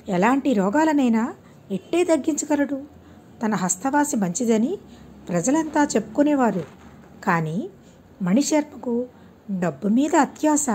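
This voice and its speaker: A woman storyteller narrates a moral tale. Her voice is on the high side and swings widely in pitch as she reads. The tone is soft and mellow, delivered in an expressive, measured manner. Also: breathy edge. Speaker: audiobook storyteller.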